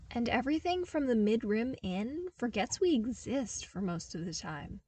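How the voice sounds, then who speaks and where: A woman voicing an earnest, wry young man from a harsh desert world in a story reading, explaining with animated emphasis. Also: a little high, husky, pitched above the performer's own voice.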